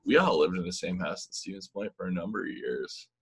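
A man with a very low voice talks in a relaxed, meandering way, leaning on "you know" and "like" as he answers. He is in his thirties and speaks into a laptop mic on a video call from a small room. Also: brisk, crowd noise. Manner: relaxed, rambling, many fillers. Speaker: musician guest. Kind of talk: casual video-call interview. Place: small room with blinds, indoors. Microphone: laptop webcam mic on video call.

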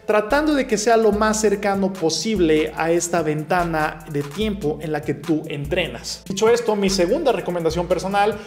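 A young man, a nutrition educator, speaking to camera in a small home room and working through common questions one by one in a clear, orderly way. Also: high voice, normal speed, hardly any pauses, roomy sound, soft background music. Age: young adult. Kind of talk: explainer video to camera. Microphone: camera facing him at close range.

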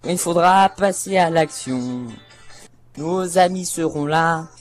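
A man slowly works through a cartoon theme, half singing and half reciting. His delivery is halting and self-conscious, with music behind him. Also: a little high.

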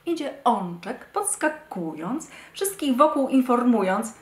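A woman in her forties reading a rhymed children's story expressively, with deliberate dramatic pauses, in an echoey room. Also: fairly high pitch, sing-song. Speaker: storybook reader. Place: indoor wood-panelled room.

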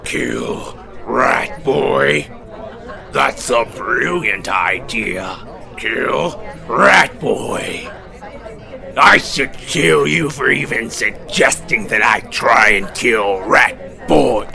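An actor voicing a scheming, boastful villain army commander in a fantasy audio drama, forceful and emphatic. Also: male voice, mid-range voice, lively intonation, a faint crowd, heavily acted. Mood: gloating, scheming. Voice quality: gravelly. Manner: forceful, emphatic, slow and menacing.

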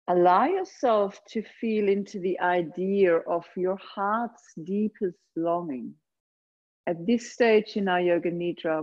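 Woman, guided relaxation, very slow pace, gentle phrasing, long pauses, somewhat dull lo-fi sound. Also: lowish voice. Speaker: yoga nidra meditation guide. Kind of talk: guided meditation, slow soothing instruction.

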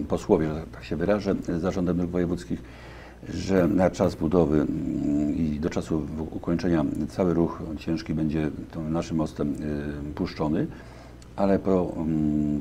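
A very deep, dark-toned man in his fifties answers questions in a television studio, pausing often and filling gaps with hesitation sounds. A faint hiss sits under his lapel-mic voice. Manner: halting, many fillers and restarts. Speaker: deputy county official. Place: local TV studio.

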